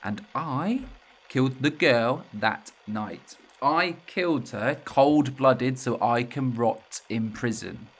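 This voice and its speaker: An actor playing a bitter, self-loathing widowed ex-convict confessing to murder in an audio drama, with halting delivery. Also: male voice, medium pitch, rough recording.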